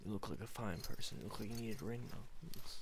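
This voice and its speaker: A man playing a folksy, pushy ring salesman in an ASMR roleplay, whispering and murmuring, rambling and repeating himself as he fumbles for words. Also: background noise. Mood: coaxing, insistent. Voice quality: breathy whisper with creaky low murmur. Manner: repetitive, halting, fumbling for words.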